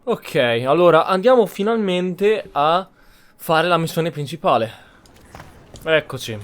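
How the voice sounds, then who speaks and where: A man's voice: fairly high pitch, unhurried, smooth, soft background music. A man giving casual, off-the-cuff gameplay commentary as he streams. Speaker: game streamer playing through a roleplay run.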